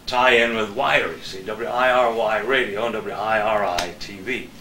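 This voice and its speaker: A man's voice: fairly low pitch, fairly even intonation, a little slow, sharp tone. An American man, a veteran broadcaster and guest, reminiscing in casual chat. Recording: echoey room, distant mic, noisy.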